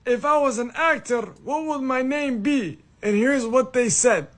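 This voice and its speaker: A man in a played clip reading out a comedic list in a deadpan way, with mock outrage at times. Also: very high voice, unhurried.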